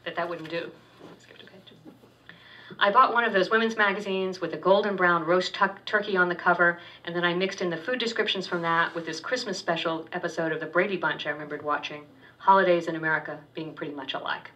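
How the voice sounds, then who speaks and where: A woman author reads her fiction aloud with a steady, wry delivery and the odd stumble. Her voice is bright and slightly low, captured far from the mic on a dull, lo-fi recording. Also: a little fast, a little hiss or hum. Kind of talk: literary reading of first-person fiction. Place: public reading at a university poetry center.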